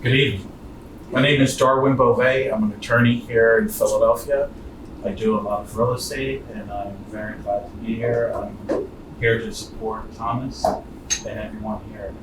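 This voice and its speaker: A man's voice: on the low side, steady tone, normal speed. A man politely introducing himself at a gathering. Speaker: attorney. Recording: distant, echoey, noisy room.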